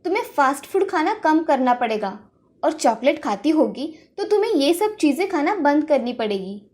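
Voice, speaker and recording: A woman voicing a professional female doctor in an animated moral story, formal and instructive. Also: high-pitched, normal speed. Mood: advisory.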